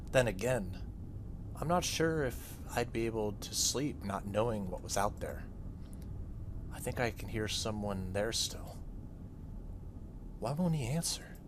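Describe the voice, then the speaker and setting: A man's voice: lowish voice, a little slow, pauses often, background noise, natural voice. Man reading as an uneasy youngster in a horror game. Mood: nervous, hesitant. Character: uneasy slumber-party youngster in a horror visual novel.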